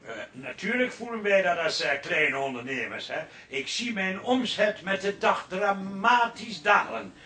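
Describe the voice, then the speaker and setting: A man's voice: sits high, expressive, measured pace, hardly any pauses, bright, forceful tone, full character voice. A man playing an aggrieved small café owner declaiming self-pityingly on television in a Dutch radio comedy drama. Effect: heard from a television. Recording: roomy, distant television sound.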